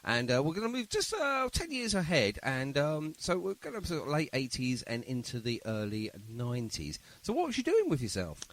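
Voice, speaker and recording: Lively, chatty man hosting. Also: mid-range voice, normal speed, few pauses. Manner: jokey, chatty. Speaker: podcast host. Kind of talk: casual chatty radio-style interview.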